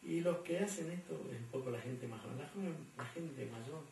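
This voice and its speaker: Gravelly Argentine man, rambling answers. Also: on the high side, brisk, darkish tone. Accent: Argentine Spanish. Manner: rambling, many fillers and repeated words. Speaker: interviewee. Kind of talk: conversational interview answers. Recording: echoey room, distant mic, faint hum.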